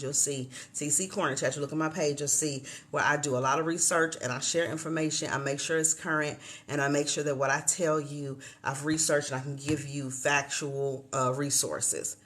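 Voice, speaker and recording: A woman's voice: low-pitched, fairly even intonation, normal speed, few pauses, a bit bright. A woman in her fifties from Chicago, hosting a casual livestream chat from a hotel room and addressing viewers as y'all. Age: 50s. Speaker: livestream host.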